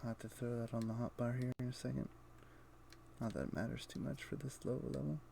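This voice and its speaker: A man streaming a video game, thinking aloud in a low-key, conversational way with casual commentary. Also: fairly even intonation, fast, creaky, dark tone, a little hiss or hum. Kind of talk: casual live gameplay commentary and chat.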